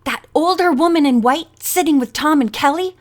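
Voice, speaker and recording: Woman playing eager storybook teen girl, high and bright-eyed, excitable, gushing delivery. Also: unhurried. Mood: excited. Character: bubbly, starstruck teenage girl, eager and excitable.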